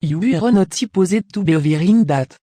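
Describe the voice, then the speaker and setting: A woman voicing a vain, bitter former noblewoman, shrill and scornful. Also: animated, normal speed, mellow, slightly rough, no echo, studio quality.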